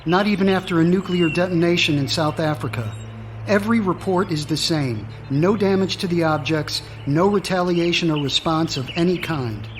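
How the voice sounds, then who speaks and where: A man's voice: a little high, normal speed, a little hiss or hum, close to an everyday voice. An actor, a man, playing a skeptical senior government secretary in a radio drama, formal and matter-of-fact. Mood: businesslike. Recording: music under the voice.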